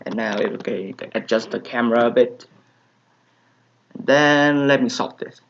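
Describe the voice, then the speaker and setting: A man's voice: medium pitch, a little slow. A young man, a native Vietnamese speaker with a Vietnamese accent, answering viewer questions in a hesitant, casual way with many fillers. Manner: hesitant, many fillers and soft trailing asides. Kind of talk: casual Q&A vlog. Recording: dull lo-fi home recording.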